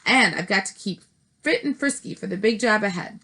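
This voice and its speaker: A woman's voice: mid-range voice, lively intonation, a little fast, bright, forceful tone, pitched above the performer's own voice. A woman voicing a clever young boy who has been turned into a mouse, speaking eagerly in a storybook read-aloud.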